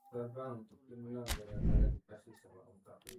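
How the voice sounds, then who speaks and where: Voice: mid-range voice, little pitch movement, a little fast, dull audio. A person chatting and teasing casually, away from the microphone. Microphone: far from the microphone. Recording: distant, echoey, very noisy room pickup.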